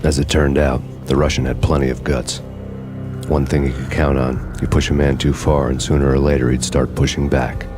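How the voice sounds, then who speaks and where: A world-weary New York cop from a noir game. His American voice is extremely low and nearly flat, brooding and deadpan as he narrates over music. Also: normal speed. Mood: grim, brooding. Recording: music behind the voice.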